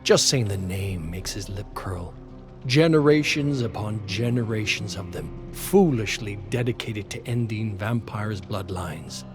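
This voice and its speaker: A man reading dramatic scripted story narration in a measured, solemn way. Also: fairly low pitch. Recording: music behind the voice. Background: music.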